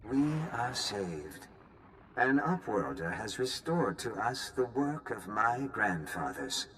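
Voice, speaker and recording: An actor playing a solemn leader of outcasts, recording a grave, measured journal in a video game. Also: male voice, lowish voice, big pitch swings, a bit bright, a little hiss or hum, heavily acted, rough recording. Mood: relieved, solemn.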